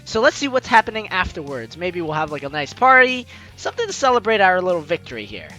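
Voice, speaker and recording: Young male playthrough host; chatty, high, bright voice, music under. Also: very animated, fast talker. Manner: chatty, reads lines with asides. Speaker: gameplay host reading the story aloud. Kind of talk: let's play narration and commentary.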